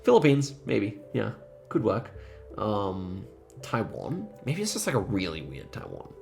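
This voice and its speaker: A man's voice: fairly low pitch, huge pitch swings, unhurried, vocal fry, a little mellow, faint music behind. A man giving casual, thinking-aloud gameplay commentary, musing and rambling hesitantly as he goes. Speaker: host of geography quiz gaming videos.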